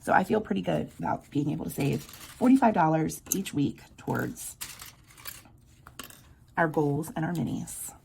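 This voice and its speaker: A woman with a sore, scratchy throat, quietly counting bills aloud in a casual budgeting vlog. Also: low-pitched, moderate pace, creaky, long pauses. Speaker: budgeting vlogger. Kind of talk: casual cash-stuffing walkthrough.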